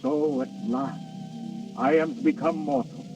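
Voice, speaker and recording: A man's voice: a little high, steady tone, unhurried, lightly acted. An actor in a 1930s radio drama playing Death on holiday as a courtly prince, speaking formally and theatrically and savoring his words. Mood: exultant. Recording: dull, hissy 1930s radio recording, faint music.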